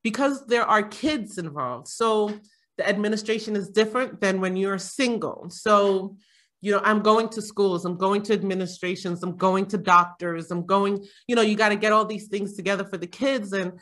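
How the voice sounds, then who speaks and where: A woman's voice: mid-range voice, normal speed, a bit bright. A middle-aged woman from Jamaica chatting casually as a panel guest, rambling with frequent fillers and laughs. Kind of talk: casual conversation.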